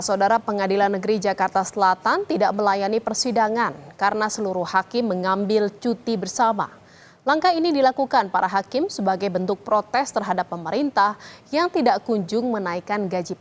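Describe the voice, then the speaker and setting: A woman news anchor reading a scripted news report. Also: medium pitch.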